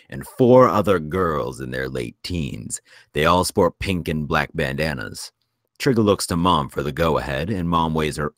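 Male narrator for script directions, extremely low voice, close dry recording. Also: fairly bright tone, dry recording. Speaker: screenplay narrator at a table read.

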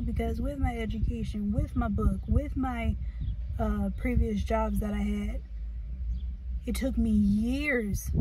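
An American woman in her thirties chatting casually and reflectively in an earnest, halting way, as a vlogger in a car. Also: on the high side. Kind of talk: casual, reflective chit chat. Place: inside a parked car. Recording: distant mic, clear background noise.

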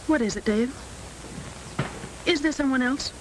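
A woman's voice: high-pitched, measured pace. An actress playing a devoted, patient young woman in love in a 1930s film. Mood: tender. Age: young adult. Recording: faintly noisy 1930s film soundtrack.

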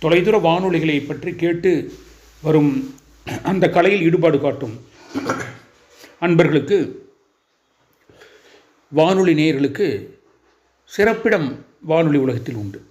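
Guy, slightly high voice, hosting a radio style show, formal n deliberate, lots of little pauses. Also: a little mellow, muffled recording. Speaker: host of a radio hobby programme. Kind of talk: presenting an informational radio-style programme.

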